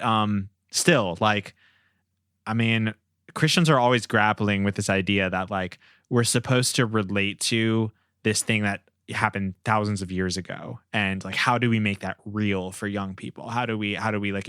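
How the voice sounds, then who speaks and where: Male podcast host, lowish pitch, clean smooth voice, dry close mic, conversational. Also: fairly bright tone, dry recording. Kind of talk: conversational media discussion.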